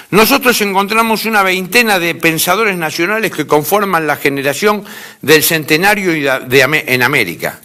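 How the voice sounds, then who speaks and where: A man's voice: high-pitched, sharp tone. A man with an Argentine accent giving an informal academic lecture in an emphatic way, repeating phrases for stress. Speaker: lecturer in philosophy.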